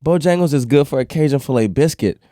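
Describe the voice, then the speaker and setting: A man's voice: medium pitch, animated, fast, warm tone. A man on a sports talk panel, chatting in casual slang with a playful, emphatic delivery. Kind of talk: casual, slangy basketball banter.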